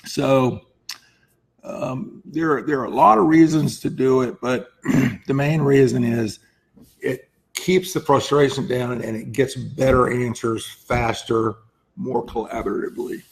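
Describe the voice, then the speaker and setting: A man hoarse with laryngitis, speaking as a lawyer hosting an informal advice talk and often clearing his throat. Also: medium pitch, steady tone, unhurried, poor audio. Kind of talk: informal explanatory talk to camera.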